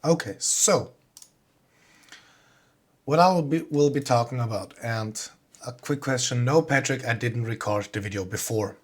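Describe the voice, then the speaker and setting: A man's voice: medium pitch, a little slow, pauses often. A man with a Swiss accent giving an informal online talk as a composer, explaining things in a plain-spoken way. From Switzerland.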